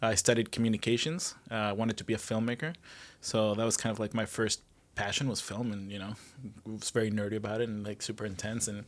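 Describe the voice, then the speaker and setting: A man from Mexico, a guest telling his life story in a relaxed, rambling way with many fillers. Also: lowish voice, quick pace. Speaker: guest, restaurant general manager. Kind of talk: casual conversational interview.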